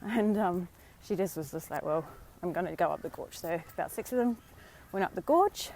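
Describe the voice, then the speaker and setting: An Australian woman vlogging live on a walk outdoors, slightly hoarse from a sore throat, talking in a chatty, encouraging way with many fillers. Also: lowish voice, animated, moderate pace, darkish tone, slight background noise. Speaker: vlogger and dating coach. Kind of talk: casual live-stream talk.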